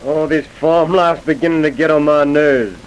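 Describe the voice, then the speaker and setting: An actor playing a brash, pushy young farmhand, slangy and swaggering, in an old radio drama. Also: male voice, fairly high pitch, normal speed, close to an everyday voice, rough recording. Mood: restless, wheedling.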